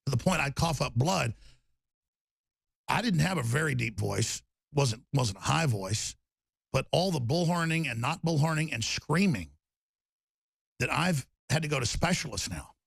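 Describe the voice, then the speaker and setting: A man hosting a talk radio show, raspy and torn-up in tone, rambling emphatically with loud bursts and low mutters. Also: no room sound. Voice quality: raspy, gravelly voice. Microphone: studio microphone.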